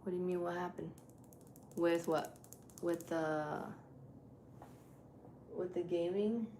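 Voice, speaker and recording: A woman live streamer with a deep voice, chatting casually with her viewers while she sets up a game. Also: steady tone, takes it slowly. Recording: echoey room with loud background noise.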